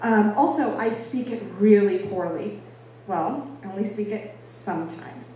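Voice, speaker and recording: A woman's voice: medium pitch, expressive, a little slow, warm tone. An American woman from Boston giving an informal public talk in an emphatic, conversational, playfully self-deprecating way. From Boston, United States. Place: echoey indoor venue with an audience. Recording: echoey room, distant mic, faint noise.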